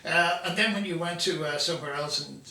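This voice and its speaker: A man hosts the chat in a bright, slightly raised voice, stopping and starting with plenty of fillers. He jokes lightly along the way. His voice comes from a distance in a roomy, echoey studio. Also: fairly high pitch, a little fast, vocal fry. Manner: hesitant, many fillers, jokey. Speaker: interview host. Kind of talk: casual interview chat.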